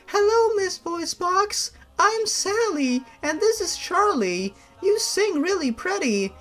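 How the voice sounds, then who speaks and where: Man putting on a high-pitched sweet little girl. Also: very animated, slow, fairly bright tone, soft background music, exaggerated voice. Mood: sweet, eager. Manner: childlike, simple phrasing. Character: sweet, eager little ghost girl with teddy bear.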